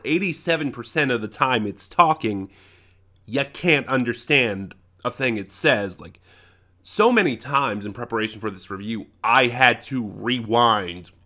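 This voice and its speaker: A man's voice: mid-range voice, pushed and bright. Man giving an informal, opinionated review. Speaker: reviewer, channel host. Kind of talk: informal spoken audio drama review.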